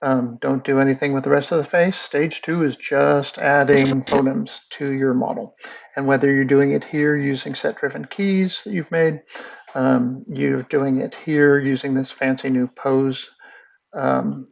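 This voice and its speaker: A man's voice: medium pitch, flat delivery, a little slow, gravelly, muffled recording. A man teaching animation in a casual screen-recorded tutorial, rambling through steps with lots of fillers while he clicks. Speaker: animation instructor.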